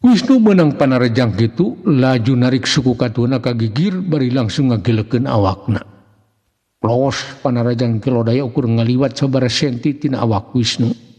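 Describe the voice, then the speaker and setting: A man with a Sundanese accent narrating a folk tale in a theatrical, expressive way, with a husky voice. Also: medium pitch, moderate pace. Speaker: storyteller. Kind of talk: dramatic scripted narration of a folk mystery tale. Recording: long hall-like reverb.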